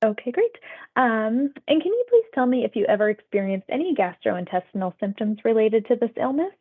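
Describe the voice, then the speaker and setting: A woman's voice: a little high, sing-song, brisk, slightly rough. Woman interviewer on a tinny phone line. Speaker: public health phone interviewer. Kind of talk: phone survey interview, scripted questions read conversationally.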